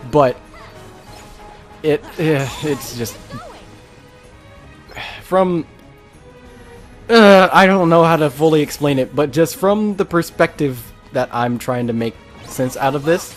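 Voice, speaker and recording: A man's voice: fairly high pitch, animated, slow, long pauses. A young man giving casual, rambling let's play commentary with an exasperated streak. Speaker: gaming video host. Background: game music.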